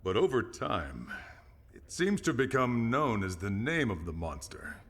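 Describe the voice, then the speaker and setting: A man playing a solemn, knowledgeable veteran in a video game, speaking gravely and deliberately. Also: on the low side, sing-song, a bit bright, exaggerated voice. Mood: solemn, explanatory. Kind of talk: video game cutscene acting.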